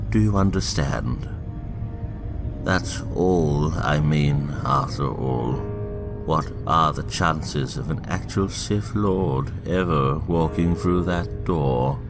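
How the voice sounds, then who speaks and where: A man in a fan audio drama playing an elderly, cunning politician, speaking in a measured, theatrical, insinuating way with a gravelly voice. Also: very deep voice, exaggerated voice. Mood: measured, earnest. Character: elderly, cunning politician, smooth, avuncular and manipulative. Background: music.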